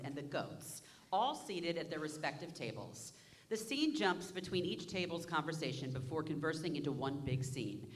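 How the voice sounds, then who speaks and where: A woman's voice: sits low, a little hiss or hum. A woman reading stage directions aloud in a staged reading of a musical. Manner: plain narration.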